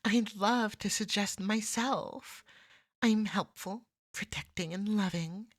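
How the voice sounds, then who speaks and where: A woman playing a clingy, jealous cat-person yandere in a scripted ASMR roleplay, husky, wry and wheedling. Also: medium pitch, slow, no room sound. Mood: eager, pleading.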